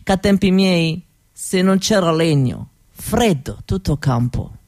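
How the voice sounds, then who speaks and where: Woman acting a slow-spoken old Roma grandmother. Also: lowish voice, lively intonation, darkish tone, dry recording, clean studio sound. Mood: fond reminiscing. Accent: Romani-accented Italian. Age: older. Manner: halting broken grammar, short phrases, pauses and little chuckles. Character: old Roma grandmother, wistful, gentle, reminiscing storyteller. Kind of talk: theatrical monologue for radio.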